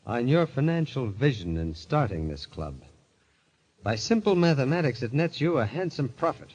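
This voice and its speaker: An actor playing a bitter, haunted young gambler turned murderer, grave and intense, in old-time radio drama style. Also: male voice, mid-range voice, sing-song, moderate pace, a little mellow, husky. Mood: coldly accusing. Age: young adult. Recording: muffled vintage radio recording, music behind.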